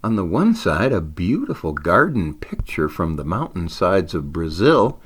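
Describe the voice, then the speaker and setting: Deep-voiced man telling a story, expressively. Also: big pitch swings, a little slow, a little hiss or hum. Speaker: author reading own story.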